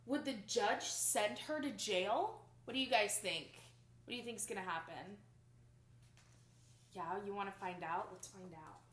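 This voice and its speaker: A young adult woman reading a picture book aloud to children in an expressive, emphatic storytelling manner. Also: medium pitch, a little slow. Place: small plain indoor room. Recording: roomy indoor echo.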